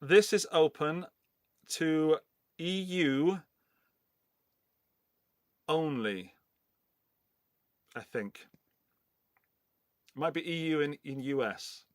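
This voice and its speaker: A man's voice: fairly high pitch, drawn-out words, long pauses, no room sound, clean studio sound. A middle-aged man from England, hosting a casual live-stream giveaway in a loose, rambling, chatty way. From England, Forest of Dean in Gloucestershire. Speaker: livestream host running a giveaway.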